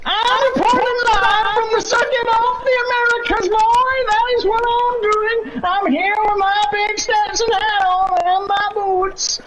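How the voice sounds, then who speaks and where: Brief: loud folksy Southern lawman, extremely high-pitched shouty race commentary, noisy recording. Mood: boastful, loud. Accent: put-on Southern US. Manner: shouty, folksy, bombastic drawl. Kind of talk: in-character sim racing commentary.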